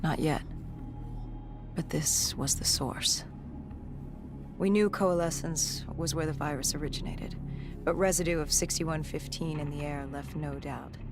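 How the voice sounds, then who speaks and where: A grim cyber-augmented soldier, voiced by an actor, looks back on a disaster in a video game cutscene. The voice is low-set and gravelly, with creaky edges and somber, measured phrasing. Music plays underneath. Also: steady tone, natural voice. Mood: grim, reflective.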